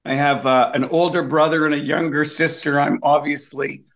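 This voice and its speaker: A man's voice: fairly high pitch, steady tone, normal speed, fairly bright tone. A man from Canada, a comedian guest on a video call, telling wry, complaining stories in a casual interview.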